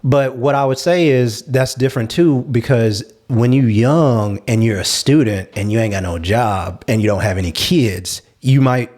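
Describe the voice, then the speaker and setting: A man speaks as a panelist on a talk show. He is agreeable and candid, laying out his points at length in a mid-pitched voice. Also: moderate pace, hardly any pauses, darkish tone.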